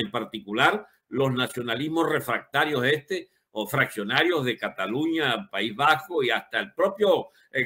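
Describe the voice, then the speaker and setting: Animated older man, high forceful voice. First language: Spanish. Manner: emphatic, rhetorical questions, colourful wording. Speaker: political commentator. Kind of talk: opinionated political monologue, unscripted.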